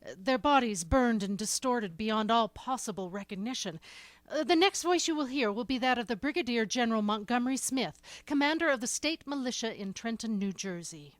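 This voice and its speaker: Actress as an announcer, higher voice, rattled bulletins, dry studio. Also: female voice, animated, no echo, lightly acted. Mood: urgent. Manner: hurried, stumbling bulletin reading. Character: shaken radio news announcer reading bulletins. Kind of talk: 1930s radio drama acting.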